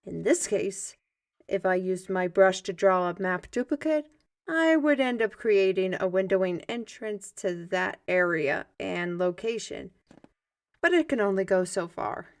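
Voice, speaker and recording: Woman playing an expressive, theatrical fantasy artist mage. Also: mid-range voice, measured pace. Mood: explaining. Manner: expressive, formal old-fashioned phrasing, muttered asides and sighs. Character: lively, theatrical artist mage with a magic paintbrush, storytelling mentor. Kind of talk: fantasy audio roleplay, ASMR.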